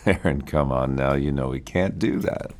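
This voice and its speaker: A man playing a menacing, controlling middle-aged husband in an audio drama, with a gravelly, husky voice and a domineering manner. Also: very deep voice, unhurried. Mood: scornful. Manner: domineering, swings from quiet menace to shouting. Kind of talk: audio fiction acting.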